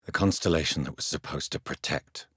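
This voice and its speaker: A male actor playing a grave, courteous gentleman doctor turned vampire in a video game, with a British accent, measured formal speech and a husky voice. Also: extremely low pitch, no echo, clean studio sound, exaggerated voice. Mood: calm, inquiring. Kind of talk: dramatic game dialogue, formal period speech.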